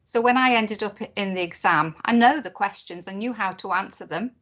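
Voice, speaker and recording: A woman with a mid-range voice speaks for a deaf presenter at a conference, turning sign language into spoken English. She works phrase by phrase, with pauses and fillers between the chunks. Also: mid-range voice, a bit bright. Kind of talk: live voiced interpretation of a conference talk.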